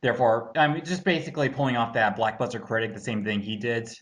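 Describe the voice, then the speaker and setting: Halting, snide man with mid-pitched voice. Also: fairly even intonation, a bit bright. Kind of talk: casual rebuttal commentary.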